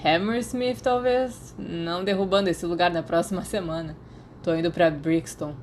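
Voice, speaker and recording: A woman's voice: fairly low pitch, fairly even intonation, normal speed, darkish tone, a little hiss or hum, close to an everyday voice. A Brazilian woman voicing an irritable, dismissive man in audiobook dialogue. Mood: offhand.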